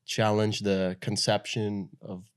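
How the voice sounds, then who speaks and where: A young man from Denmark, a guest in an interview, telling his story in a relaxed, loose way with lots of fillers. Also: on the low side, slow, clear, resonant tone. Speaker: guest, pro paddle surfer. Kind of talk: casual interview talk.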